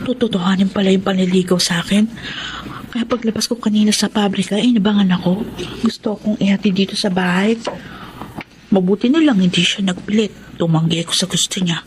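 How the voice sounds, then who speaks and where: A woman's voice: medium pitch, fairly even intonation, fairly quick, slight background noise, natural voice, poor audio. An actress with a Filipino accent playing a sincere, hopeful young working woman in a Tagalog radio drama. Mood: pensive. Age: young adult.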